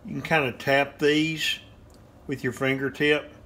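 A plain-spoken man in his fifties talks through a home cooking demo, one step at a time, in an unhurried drawl. His voice bounces around a hard-surfaced kitchen, caught on a phone camera held a few feet away. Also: mid-range voice, level tone, pushed and bright. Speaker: cooking show host. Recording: roomy kitchen echo.

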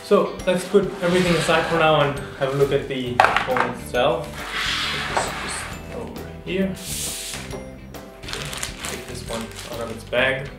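A man's voice: mid-range voice, unhurried. A young man presenting an unboxing review to camera, seated at a table in an indoor studio. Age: young adult. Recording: roomy echo, music behind. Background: background music.

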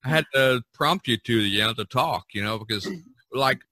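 A man hosting a casual podcast over a video call, talking in a rambling, animated way. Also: mid-range voice, normal speed, no room sound. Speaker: podcast host. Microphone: video call microphone.

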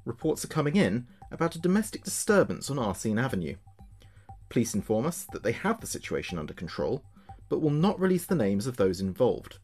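A man playing a chatty late-night local radio DJ, with a measured presenter's delivery. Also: medium pitch, a little mellow, slightly rough. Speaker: radio host. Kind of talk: scripted late-night radio show narration.

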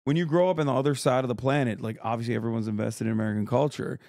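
A man in his thirties from Turkey, a podcast co-host telling stories in a blunt, profane, casual way on a boom mic in a studio. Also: fairly even intonation, rapid-fire, gravelly, a little mellow, no room sound. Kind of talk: casual chat. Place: indoor podcast studio with sofas. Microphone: boom microphone close to the mouth.

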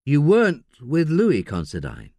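A man voicing a brisk, firm woman police detective with a clipped manner. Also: fairly high pitch, huge pitch swings, warm tone, no room sound, voice pushed up, heavily acted.

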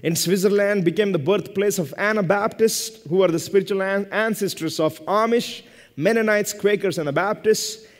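A man's voice: high-pitched, moderate pace. A man in his thirties preaching as a pastor from a lectern, emphatic, in short phrases repeated for stress. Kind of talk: sermon, preaching and teaching from a pulpit. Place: church stage at a lectern, indoors. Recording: long hall reverb.

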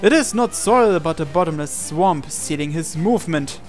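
A man reading a visual novel aloud as a dramatic narration, with deliberate pauses. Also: a little high, animated. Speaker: gaming channel host. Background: game music.

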